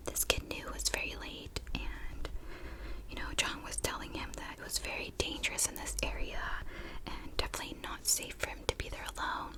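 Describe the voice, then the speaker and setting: A woman telling a true crime story in a soft, breathy ASMR whisper, casually and gently, in short halting phrases. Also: poor audio.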